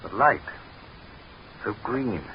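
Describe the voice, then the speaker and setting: Imperious leader, swooping delivery. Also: male voice, fairly low pitch, animated, slow. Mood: quiet, uneasy. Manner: pompous, clipped, formal phrasing. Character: lean, imperious state leader, formal, dryly ironic, uneasy underneath. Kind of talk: 1940s radio drama acting. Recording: noisy, muffled 1940s radio recording.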